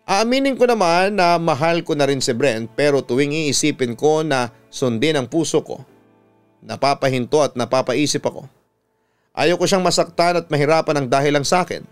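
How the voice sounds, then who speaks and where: Clear-voiced Filipino man narrating a story. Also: a little high. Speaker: radio announcer and story narrator. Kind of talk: dramatic first-person story narration. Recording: music behind the voice. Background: background music.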